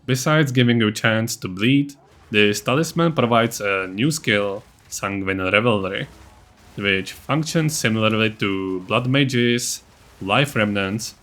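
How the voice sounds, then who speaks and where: A man's voice: fairly low pitch, measured pace, a bit bright. A man narrating a video game guide as its creator, in an informal, matter-of-fact, explanatory way. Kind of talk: casual explanatory build-guide voice-over.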